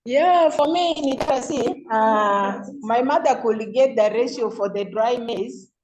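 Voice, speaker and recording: A woman's voice: on the high side, measured pace, background noise. A woman with a Ugandan accent speaking informally as the president chairing an online club meeting. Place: remote video call.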